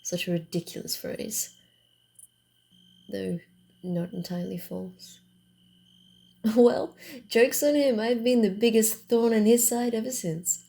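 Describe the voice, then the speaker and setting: Woman playing a slow, mellow, teasing vampire woman. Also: a little high, creaky. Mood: wry, reflective. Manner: hesitant, halting, teasing, slightly flustered. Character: playful, proud female vampire wanderer on the run. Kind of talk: ASMR roleplay, acted one-sided dialogue.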